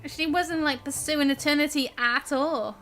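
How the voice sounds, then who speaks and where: A woman's voice: sits high, normal speed, music in the background. A woman giving casual live gameplay commentary as a streamer, musing aloud in a chatty, giggly manner.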